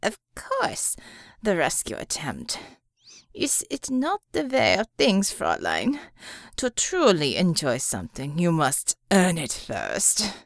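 A woman's voice: on the low side, wildly swooping pitch, gravelly, dry recording, studio quality, full character voice. Woman voicing a sneering, swooping German male supervillain. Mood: mocking. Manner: mocking, drawn-out theatrical delivery.

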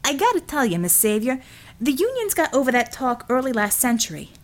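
A woman's voice: high voice, big pitch swings, fairly quick, voice pushed up. Woman doing a folksy American union man. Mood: defensive. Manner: folksy, colloquial, blunt shop talk. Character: heavy-set, plain-spoken American male union contractor.

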